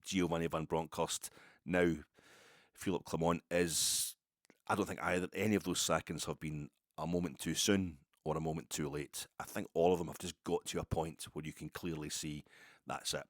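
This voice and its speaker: A man's voice: very deep voice, steady tone, moderate pace, no echo, studio quality. A Scottish man hosting a football fan podcast, chatting casually in a rambling, wry, colloquial way. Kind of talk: casual solo chat.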